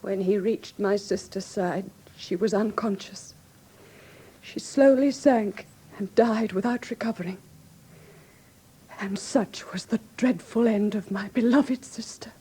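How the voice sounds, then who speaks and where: A woman's voice: medium pitch, unhurried, dark tone, husky. An actress playing a refined, anxious young woman in a classic radio drama, with a Received Pronunciation accent and genteel, earnest, precise diction. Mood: subdued, grave. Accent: English Received Pronunciation. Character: refined, anxious young woman recounting her sister's death.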